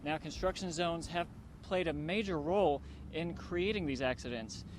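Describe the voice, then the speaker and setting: A man's voice: a little high, normal speed. Man reporting TV news over traffic noise. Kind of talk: scripted broadcast news reporting.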